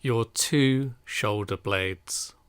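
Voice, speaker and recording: A man guiding a relaxation meditation in a calm, soothing, unhurried manner. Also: fairly low pitch, clear, resonant tone, no room sound. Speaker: meditation guide.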